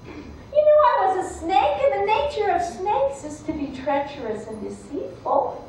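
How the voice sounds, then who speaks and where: A woman's voice: very high-pitched, sing-song, unhurried, darkish tone, voice pushed up, full character voice. A woman storyteller voicing a treacherous, wheedling snake who mocks as readily as it coaxes, in a folktale. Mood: gloating, scornful. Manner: wheedling, mocking. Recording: echoey room, distant mic, faint noise.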